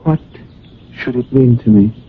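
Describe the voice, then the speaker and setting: An actor playing an aloof, evasive love interest in a radio drama, measured and gently patronising. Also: male voice, mid-range voice, breathy edge. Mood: cool, detached. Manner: detached, measured. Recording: muffled noisy old radio recording.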